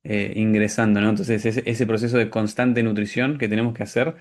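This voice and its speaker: A man's voice: lowish voice, steady tone, brisk, a little mellow. A man with a Rioplatense Argentine accent interviewing a guest conversationally, feeling out long questions and rephrasing as he goes. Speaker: interviewer, coach. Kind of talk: conversational client testimonial interview.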